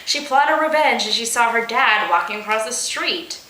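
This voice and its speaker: A woman's voice: fairly high pitch, expressive, normal speed, pushed and bright, reverberant, slight background noise, poor audio. A woman reading comic fiction aloud as host, theatrical, with sarcastic, exasperated asides. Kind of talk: comedic dramatic reading with asides.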